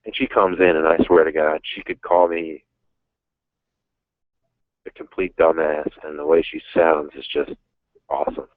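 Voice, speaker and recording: A man's voice: very deep voice, flat delivery, normal speed, long pauses, no room sound. A man in his thirties calling in, chatting casually and frankly about himself with some self-mockery. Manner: frank, self-deprecating, rambling. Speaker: caller. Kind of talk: casual chat. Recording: dull phone line.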